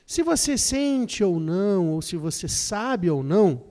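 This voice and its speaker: A man's voice: high-pitched, big pitch swings, a little slow, dark tone. A man interpreting a lecture phrase by phrase, often starting with fillers and repeated words. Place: lecture hall. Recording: long hall reverb.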